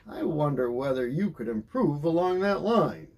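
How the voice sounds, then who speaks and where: A man's voice: on the high side, very animated, moderate pace, a little mellow. A man voicing a patient but firm father in a storybook, emphatic and coaxing. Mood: kindly coaxing. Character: patient, firm father calling his son.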